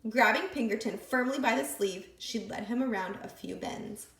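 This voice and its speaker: A woman reading a picture book aloud with expressive, lively storytelling. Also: a little high, moderate pace, gravelly, slight background noise. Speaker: storybook reader. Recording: echoey room.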